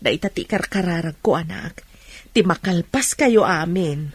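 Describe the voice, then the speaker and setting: A woman playing a patient, forgiving village mother in a radio drama, with a husky voice and an Ilocano accent. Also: fairly low pitch, measured pace. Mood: encouraging, earnest.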